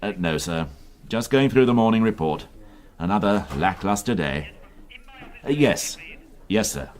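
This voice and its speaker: An actor in a radio drama playing a sardonic, exacting middle-aged police inspector with a dry, sarcastic manner. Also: male voice, fairly low pitch, lively intonation, measured pace. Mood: brisk, weary.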